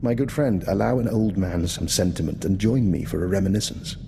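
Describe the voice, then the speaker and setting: An actor playing an urbane, calculating old doctor and veteran spy in a video game, with a refined, courteous, understated manner. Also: male voice, low-pitched, brisk, creaky, long reverb tail, noisy background. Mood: genial, musing. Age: older. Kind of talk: video game dialogue acting.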